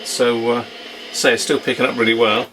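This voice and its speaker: A man's voice: medium pitch, flat delivery, normal speed, a bit bright, poor audio. A man giving a casual, off-the-cuff demonstration as a hobbyist host, hesitant and trailing off into muttered asides. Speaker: radio hobbyist, video host. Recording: home recording with faint background hiss.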